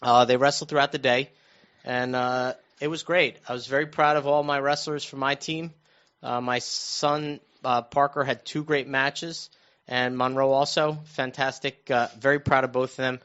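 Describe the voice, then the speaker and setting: A middle-aged American man telling stories casually on a talk show, halting and restarting his phrases as he goes. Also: mid-range voice, flat delivery, a bit bright, smooth. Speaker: radio show panelist recounting his own news. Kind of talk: casual conversational storytelling. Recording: dull, lo-fi muffled sound.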